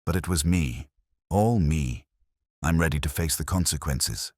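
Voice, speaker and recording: A man voicing an anxious, resigned retired schoolmaster in a story, with an earnest, confessional manner and a baritone voice. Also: measured pace, darkish tone, no room sound, studio quality.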